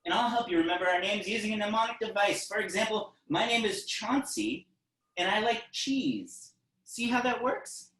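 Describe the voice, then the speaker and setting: A storybook reader voicing a tiny, eager, helpful mouse in a high, slow, patient voice. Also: animated, fairly bright tone, distant mic, natural voice. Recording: echoey room.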